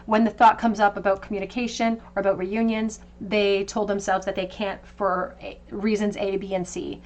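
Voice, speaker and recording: Female tarot reader, mid-pitched, conversational pick-a-card delivery, gently reassuring. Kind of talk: tarot card reading to camera.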